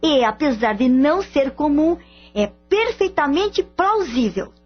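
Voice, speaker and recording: An actress as an earnest, caring girlfriend with a very high voice. She speaks in radio drama style, with faint music and a dull, lo-fi sound. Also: lively intonation, measured pace. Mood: earnest explaining.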